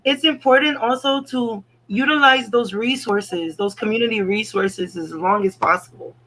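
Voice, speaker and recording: A guest on a livestream talk show, giving measured, considered opinions in a casual conversation. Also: mid-range voice, pushed and bright, slight background noise, poor audio.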